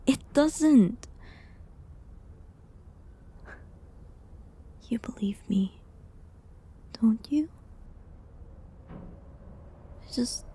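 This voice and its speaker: Slow, sad accused medieval healer woman, acted out. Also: on the high side, a little hiss or hum. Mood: pleading. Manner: soft, hesitant, breathy delivery with pauses. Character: falsely accused village healer awaiting execution, sad and resigned. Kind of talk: dramatic audio roleplay monologue, medieval setting.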